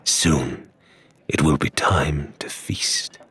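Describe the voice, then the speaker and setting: A man voicing a sinister, hungry creature in an audiobook, in a breathy whisper full of menace. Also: rumbling low, extremely slow, a bit bright, voice pushed down, full character voice. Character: sinister hungry unseen creature anticipating a feast.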